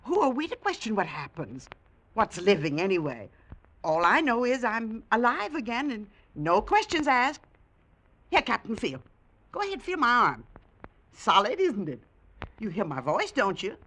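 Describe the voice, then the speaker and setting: A woman's voice: fairly high pitch, sing-song, normal speed, fairly bright tone. An actress playing a cheerful, hospitable old American grandmother back from the dead, folksy and insistent in a vintage radio drama. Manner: folksy, insistent, brushes questions aside.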